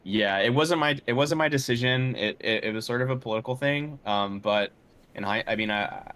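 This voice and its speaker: A man being interviewed as a composer, talking casually and haltingly, often repeating words as he thinks. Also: medium pitch, fairly bright tone, slight background noise.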